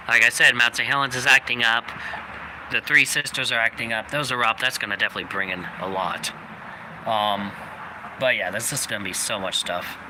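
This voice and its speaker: A young man hosting a channel, giving a rambling casual update with many fillers, his voice slightly raspy from coughing. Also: lowish voice. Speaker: channel host. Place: outdoors, people walking by. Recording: noisy outdoor recording.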